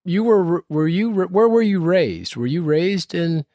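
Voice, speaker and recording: A man's voice: sits high, lively intonation, unhurried, dark tone, no echo, clean studio sound. A man hosting an interview, chatting casually and hesitantly with many restarts. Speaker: host.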